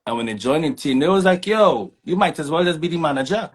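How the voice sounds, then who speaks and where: A man's voice: on the high side, expressive, fast. A man from Trinidad and Tobago with a Trinidadian accent, an artist manager telling his story in a laid-back, easygoing way. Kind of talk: casual interview chat.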